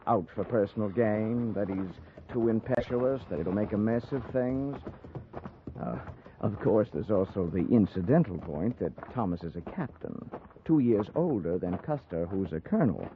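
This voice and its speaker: An actor playing a cocky, self-assured young US cavalry lieutenant in a 1950s radio drama, with a breezy way of talking. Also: male voice, fairly low pitch, expressive, moderate pace. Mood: scornful. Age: young adult. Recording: muffled, noisy 1950s radio recording.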